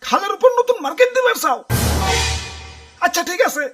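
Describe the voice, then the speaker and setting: A man's voice: very high voice, lively intonation, brisk, fairly bright tone, faint music behind. A man voicing a glib, corrupt city official in a comic cartoon, placating and evasive, with a Bangladeshi accent. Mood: dismissive, glib.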